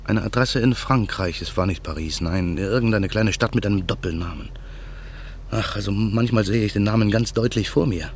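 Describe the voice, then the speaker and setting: A man's voice: on the low side, moderate pace, slight background noise, lightly acted. An actor playing a haunted man bent on revenge, narrating with brooding intensity in a radio play. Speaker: narrator and lead character.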